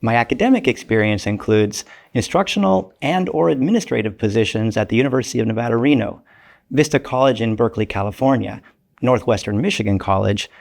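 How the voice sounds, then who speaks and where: An older male economist speaks in a measured, formal way, as if giving a lecture. His voice has a gravelly edge, and his pitch sits in the middle range for a man. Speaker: economics professor. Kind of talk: prepared explanatory talk.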